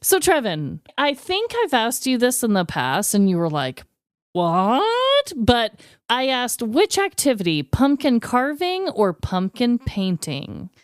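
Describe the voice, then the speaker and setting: Lively, smooth-voiced woman co-hosting a podcast. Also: fairly high pitch, slow pace, no echo, clean studio sound. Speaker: podcast co-host. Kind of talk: casual banter. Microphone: close podcast microphone.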